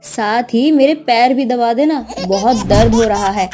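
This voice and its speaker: A woman's voice: fairly high pitch, measured pace, over music, lightly acted. A woman voicing a bossy mother-in-law. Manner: fussy, bossy, expects to be pampered. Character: demanding middle-aged mother-in-law. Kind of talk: cartoon voice acting.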